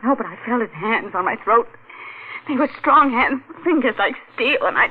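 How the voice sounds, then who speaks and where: A woman playing a detective's frightened, shaken wife in 1940s radio melodrama, with a breathy, faltering delivery. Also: fairly high pitch, animated, moderate pace, narrow, tinny audio. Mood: terrified. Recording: thin, noisy vintage radio.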